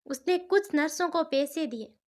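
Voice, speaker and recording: A woman's voice: sits high, measured pace. A woman storyteller narrating a scripted cartoon family tale.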